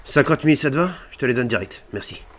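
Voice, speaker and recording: A man's voice: mid-range voice, expressive, fast talker. A man improvising game roleplay banter in a cheeky, swearing, provocative way on a gaming microphone. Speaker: video creator playing a prankster in online roleplay.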